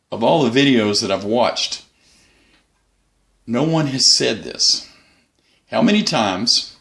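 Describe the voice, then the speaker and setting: A man giving a casual, unscripted hobby review as the channel's host, in a halting, rambling way. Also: lowish voice, creaky, fairly bright tone.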